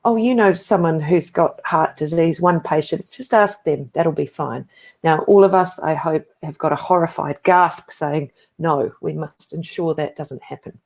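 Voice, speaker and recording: A woman's voice: deep voice, unhurried, vocal fry, a little mellow. New Zealand woman telling a conference story. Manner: conversational storytelling with asides. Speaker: conference speaker. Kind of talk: conference talk.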